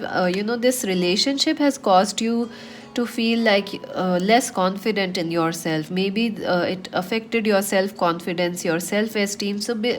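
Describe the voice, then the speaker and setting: A woman's voice: mid-range voice, slight background noise. A woman with a cold and a slightly nasal voice, giving a chatty tarot reading to her viewers with many fillers. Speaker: tarot reader.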